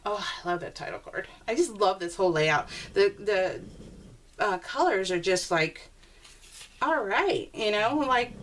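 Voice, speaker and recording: A woman chatting informally and in a rambling way to her viewers as she hosts a casual crafting video. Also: high voice. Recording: distant mic with faint background noise.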